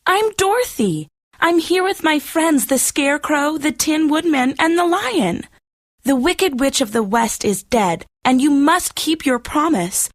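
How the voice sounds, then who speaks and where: A man voicing an earnest, determined young girl in a storybook reading, speaking high and insistent. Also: animated, a little slow, a bit bright, no room sound, clean studio sound, exaggerated voice.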